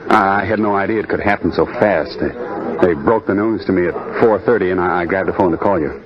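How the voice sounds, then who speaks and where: An actor playing a frustrated, insistent suitor in old-time radio drama style, earnest with a bitter edge. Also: male voice, low-pitched, a little fast, close to an everyday voice. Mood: uneasy. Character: frustrated, insistent suitor pressing his sweetheart to leave. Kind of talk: 1940s-50s radio drama acting. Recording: dull old radio recording, crowd chatter behind.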